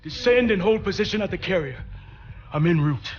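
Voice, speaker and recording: An actor playing a determined, terse male squad commander in a military video game, with loud music and vehicle rumble behind him. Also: lively intonation, moderate pace, dull audio. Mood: urgent, commanding. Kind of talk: cinematic military game acting.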